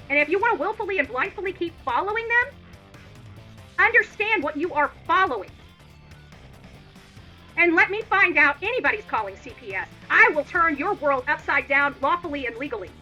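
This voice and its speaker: A woman's voice: very high voice, pushed and bright, highs cut off. A woman livestream host delivering an indignant, opinionated rant in a combative, emphatic and repetitive manner.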